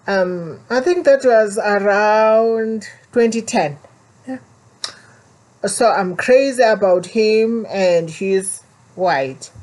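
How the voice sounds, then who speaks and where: South african woman vlogging, earnest and kinda preachy, voice sits a bit low. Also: a little mellow, poor audio. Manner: earnest, preachy, repeats warnings. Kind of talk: personal story and warning to viewers.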